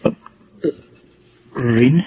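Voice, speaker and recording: A man's voice: very slow, muffled recording, a little hiss or hum. An actor playing a sincere, devoted young man in a Filipino radio drama, speaking earnestly with a Filipino accent. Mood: tender, nervous.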